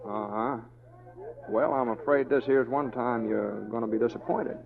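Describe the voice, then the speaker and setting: An actor playing a mild, unflappable young deputy in a radio Western, speaking in a folksy, hesitant drawl. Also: male voice, mid-range voice, normal speed, creaky, a crowd in the background, natural voice. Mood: mild, amiable. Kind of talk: 1930s-40s radio acting. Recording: muffled old radio broadcast.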